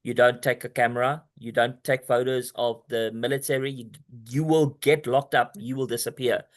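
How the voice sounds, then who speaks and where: A man's voice: medium pitch, little pitch movement, normal speed. Rambling man hosting a video call. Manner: rambling, restarts often, talks straight to the listener. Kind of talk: casual pitch on a video call.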